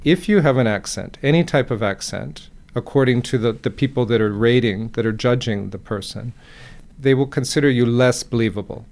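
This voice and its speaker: A man speaking as an expert researcher in an interview, explaining in halting phrases that he repeats for emphasis. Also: medium pitch, vocal fry, a little mellow.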